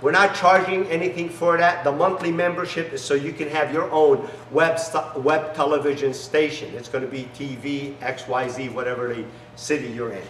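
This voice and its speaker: A man's voice: steady tone, moderate pace, few pauses, fairly bright tone, a little hiss or hum. A middle-aged man, not a native English speaker, giving an enthusiastic promotional demonstration as a business founder in a large garage. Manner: enthusiastic salesy pitch with broken phrasing. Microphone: camera mic from a few steps away. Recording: echoey garage, distant mic.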